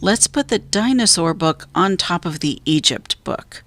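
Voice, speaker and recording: A woman's voice: lowish voice, animated, unhurried, fairly bright tone, no room sound. A woman voicing an eager, curious young girl in a children's storybook, with an urging manner. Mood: practical.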